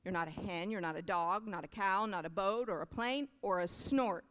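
A woman's voice: medium pitch, moderate pace, a bit bright, natural voice. A woman voicing a small, anxious baby boy bird who pleads and calls out as he searches. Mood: sweet. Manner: pleading, calling out. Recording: long hall reverb.

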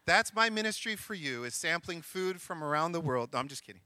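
A man's voice: fairly high pitch, lively intonation, a little fast, sharp tone, no echo. A middle-aged man, a missionary school counselor, giving an earnest, joking church missions talk. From grew up in Sri Lanka, India and Egypt. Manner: joking, earnest speaker reading scripture aloud.

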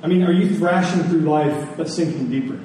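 A man preaching a sermon as a pastor, speaking earnestly and deliberately. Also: on the high side, warm tone. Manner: earnest, rhetorical questions, deliberate pauses. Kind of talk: sermon closing in prayer. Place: large echoey room before a congregation. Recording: echoey hall, distant mic, faint noise.

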